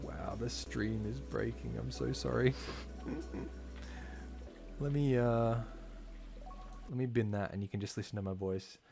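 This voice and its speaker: A man talking casually while live-streaming a game, slightly hoarse, chatty and full of fillers, from a home streaming setup. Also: mid-range voice, slow pace, long pauses, a little mellow. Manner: chatty, filler-heavy, talks while playing. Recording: clear background music under voice.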